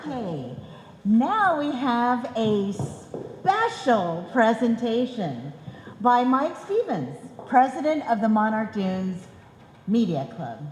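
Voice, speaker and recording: A woman's voice: a little high, sing-song, takes it slowly, a little mellow, a little hiss or hum. A woman emcee making announcements from the stage of a live show in a hall. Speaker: master of ceremonies. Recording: echoey hall with crowd and music.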